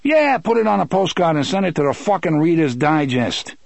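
A man voicing a brash, hot-tempered young wisecracker in an audiobook, with loud, sarcastic, profane outbursts. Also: fairly high pitch, sing-song, a little fast, creaky, no room sound, voice pushed up, full character voice. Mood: exasperated.

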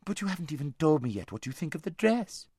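A vain young noblewoman of a storybook, acted out by a man, drawling in an affected, gushing way. The voice is pitched up and swoops freely, lazy and careless in manner. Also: big pitch swings, fast talker, a little mellow, slightly rough, dry recording, studio quality, exaggerated voice. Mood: breezy, self-absorbed. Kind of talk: audiobook character voice.

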